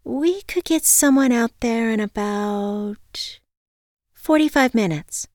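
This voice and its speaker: A woman voicing a pleasant, patient female dispatcher in an audiobook, polite and calm as if reciting. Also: a little high, slow pace, dry recording, clean studio sound, voice pushed up. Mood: pleasant, helpful. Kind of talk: acted audiobook dialogue.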